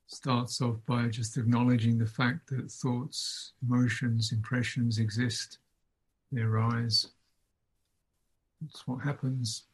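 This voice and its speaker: A man guides a meditation in a mid-pitched, slightly husky voice. He speaks very slowly and contemplatively, setting out short phrases with long pauses between them, as a teacher finding the words in the moment. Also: low-quality recording. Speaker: Buddhist monk, meditation teacher. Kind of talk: unscripted guided meditation talk.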